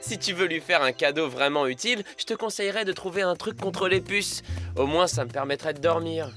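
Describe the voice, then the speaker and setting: A man's voice: fairly high pitch, fairly quick, pushed and bright, faint music behind. A man voicing a grumbling, sarcastic animal friend in a cartoon dub. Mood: exasperated. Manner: grumbling, exasperated. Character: grumpy, sleep-deprived, sarcastic male animal friend.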